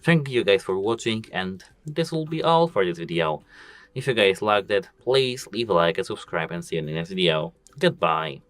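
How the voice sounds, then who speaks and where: A man's voice: low-pitched, expressive, moderate pace, fairly bright tone, slight background noise. A man hosting a casual phone tutorial, explaining each step and repeating "as you can see". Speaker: tech tutorial host. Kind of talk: casual phone how-to walkthrough.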